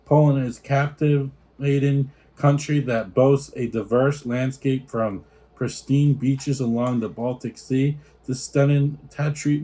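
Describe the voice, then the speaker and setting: A man's voice: mid-range voice, dark tone. A man hosting a casual snack taste-test, reading out product notes and stumbling over foreign names. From United States.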